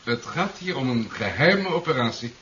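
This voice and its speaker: Radio play vice-admiral: formal briefing, measured and composed, medium pitch, muffled old tape. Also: male voice, big pitch swings, pushed and bright. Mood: composed, official. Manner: measured, official. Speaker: radio drama actor. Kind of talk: 1970s Dutch radio play acting. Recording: dull, hissy 1970s radio recording.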